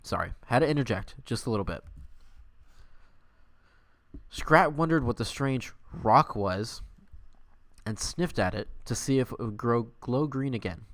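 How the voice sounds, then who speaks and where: A man's voice: medium pitch, animated, unhurried, pauses often, fairly bright tone. A man hosting a livestream, mixing mock-dramatic story reading with casual chat in a tongue-in-cheek way. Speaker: livestream host.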